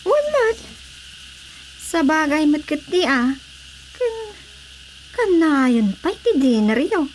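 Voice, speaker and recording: Woman acting a squeaky-high, gossipy Filipina auntie. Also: very animated, slow pace, long pauses, darkish tone, slight background noise, exaggerated voice, rough recording. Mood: teasing. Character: nosy, teasing gossipy auntie. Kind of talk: Filipino radio drama comedy acting.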